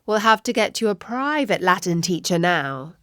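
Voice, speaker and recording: A woman's voice: on the low side, sing-song, normal speed, a bit bright, no echo, studio quality. A woman voicing a firm, wise witch mother in a storybook, explaining things emphatically. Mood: matter-of-fact.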